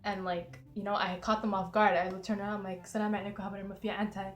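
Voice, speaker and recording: A woman's voice: mid-range voice, little pitch movement, quick pace. Vlog co-host: young woman, Somali first language, mid-range voice, slangy storyteller, echoey room with faint music. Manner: slangy anecdotal telling. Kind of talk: casual chat. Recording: roomy echo, music underneath. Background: background music.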